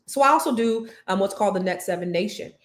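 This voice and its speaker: A woman's voice: medium pitch, fast talker. A middle-aged woman coaching other women, giving a motivational talk with an emphatic, preacher-like cadence.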